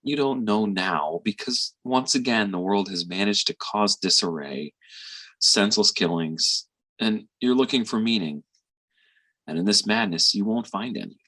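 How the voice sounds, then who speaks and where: An actor playing a weary, tender middle-aged father and physician in a reflective, halting stage monologue. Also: fairly low pitch, sharp tone. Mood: grave, earnest. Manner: reflective, halting with sighs and pauses.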